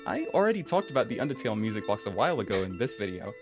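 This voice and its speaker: A man's voice: fairly low pitch, very animated, rapid-fire. A young adult man narrating a scripted video essay in an upbeat explainer style. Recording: narration over background music.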